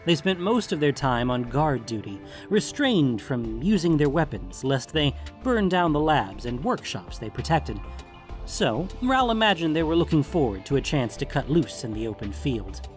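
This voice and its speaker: A man's voice: fairly high pitch, big pitch swings, normal speed. A man reading scripted fantasy audiobook narration, with music playing behind him.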